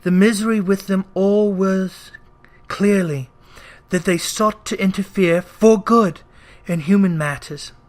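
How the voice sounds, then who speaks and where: A male audiobook reader with a fairly high voice. He narrates a classic story in a measured, dramatic way, reading from a script. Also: darkish tone.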